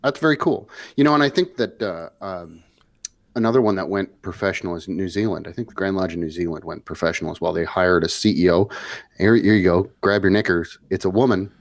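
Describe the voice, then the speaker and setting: Really deep-voiced co-host, dry wisecracks, lots of quick yeahs, dry mic. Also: male voice, no room sound. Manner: wry, joking, quick agreeing interjections. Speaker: podcast co-host. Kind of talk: casual discussion podcast.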